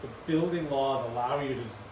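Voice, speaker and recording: A man's voice: medium pitch, a little fast. A man speaking as an academic panelist in an unscripted conversation about his field. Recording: echoey room, distant mic, noisy background.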